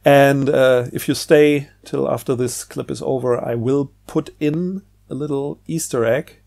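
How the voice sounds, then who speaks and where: A man giving a casual, unscripted book review in a halting way. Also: medium pitch, fairly even intonation, gravelly, darkish tone.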